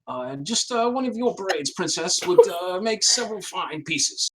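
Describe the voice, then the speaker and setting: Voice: medium pitch, expressive, measured pace, pushed and bright, a little hiss or hum, low-quality recording. An actor in a comedic script read voicing a bumbling, henpecked male sphinx cat husband, hesitant, shifty and chummy. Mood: sly, hesitant.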